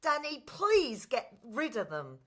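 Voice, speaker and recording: A lovestruck young prince acted by a woman in a radio play. The voice is high, bright and very animated. He speaks with impatient urgency and gives orders readily. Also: takes it slowly, full character voice. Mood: indignant. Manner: urgent, commanding. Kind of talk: musical radio play acting.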